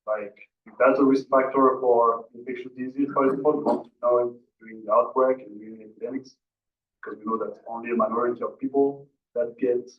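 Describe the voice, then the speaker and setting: Male researcher, mid pitch, very flat delivery, dull roomy recording. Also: fairly quick. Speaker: researcher speaking at a conference. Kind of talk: spontaneous explanation to an audience. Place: indoor conference room. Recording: echoey, distant, muffled conference room audio.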